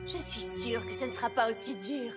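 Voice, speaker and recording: A woman as a gentle, high-voiced sensible cartoon pony. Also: fairly quick, natural voice. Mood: apologetic. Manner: gentle, explanatory. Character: kind, sensible, reluctant young female magical pony. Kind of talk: animated children's cartoon dub. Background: music.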